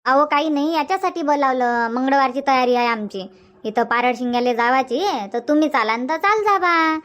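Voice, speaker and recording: Casting: woman to play cartoon village auntie, high-pitched, bossy and talkative, Varhadi Marathi accent. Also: expressive, normal speed, fairly bright tone. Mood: explaining. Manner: chatty, insistent, repeats herself. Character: bossy, sociable village auntie organizing her friends. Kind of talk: cartoon comedy dubbing.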